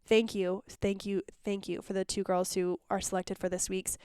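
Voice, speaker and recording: A woman's voice: medium pitch, level tone, moderate pace, warm tone, clean tone, no echo, studio quality. A woman hosting her podcast in a casual, chatty solo monologue, sounding congested and phlegmy. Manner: chatty and candid, with restarts. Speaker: podcast host.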